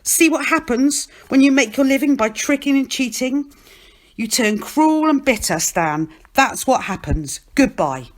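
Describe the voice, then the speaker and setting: Storybook character, glum fairground trickster man played by a woman, bitter, sighing, needling, very varied pitch. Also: expressive, measured pace. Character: glum, bitter man who runs a fairground tickling hustle.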